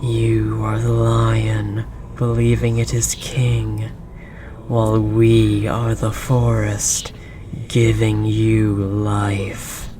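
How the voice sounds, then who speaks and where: Casting: ominous forest deity played by an actor for audio roleplay, extremely slow, breathy whispering, gently menacing and possessive, over noisy ambience. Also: medium pitch, completely flat delivery. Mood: condescending, menacing. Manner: slow, solemn, archaic formal phrasing. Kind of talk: scripted roleplay audio drama monologue.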